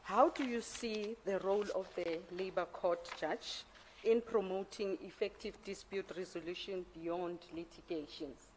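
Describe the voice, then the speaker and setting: A woman on an interview panel, asking formal questions in a deliberate way. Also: on the low side, steady tone, darkish tone, a little hiss or hum, poor audio.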